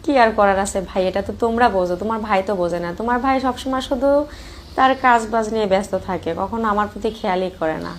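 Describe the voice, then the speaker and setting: A woman's voice: mid-range voice, fast, lightly acted. A woman with a Bangladeshi accent playing a flirtatious, lonely married sister-in-law in an amateur romantic phone-call audio drama. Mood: teasing, wistful. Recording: clear background noise.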